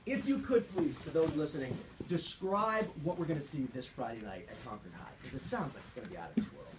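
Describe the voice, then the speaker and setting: A man's voice: on the high side, lively intonation, fast talker, very noisy. A man hosting a live radio interview in a studio, upbeat and chatty, often repeating himself. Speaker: radio host.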